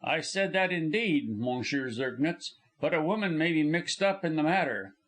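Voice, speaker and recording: A man's voice: on the high side, expressive, moderate pace, fairly bright tone. A man as a grim earnest doctor. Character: grim, eager middle-aged male doctor.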